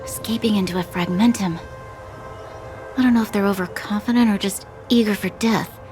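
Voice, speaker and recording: A woman's voice: medium pitch, moderate pace, lightly acted. A woman voicing a stern, dutiful young military commander in an anime game, formal and resolute. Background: game music.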